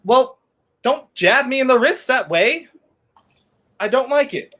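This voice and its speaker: A young man acts out a wry, weary fugitive hero from a 1930s radio thriller. He talks slowly and sardonically, with big swoops in pitch and theatrical timing. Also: expressive, a bit bright, full character voice. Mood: irritated. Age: young adult. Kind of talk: 1930s radio play acting.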